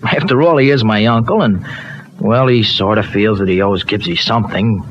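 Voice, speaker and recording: A man's voice: fairly low pitch, normal speed, lightly acted. An actor playing an eager, streetwise but well-meaning young dairyman, with loose colloquial American speech in an old radio soap. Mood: earnest, uneasy. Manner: colloquial, folksy slang with loose grammar. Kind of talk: old-time radio soap opera acting. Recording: dull, noisy old radio recording.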